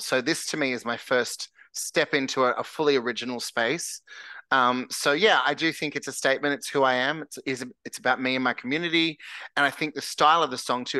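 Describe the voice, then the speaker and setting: Candid Australian man, bright tense voice. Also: mid-range voice, no echo. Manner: earnest, candid, self-described larrikin. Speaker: singer-songwriter guest. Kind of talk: casual interview chat.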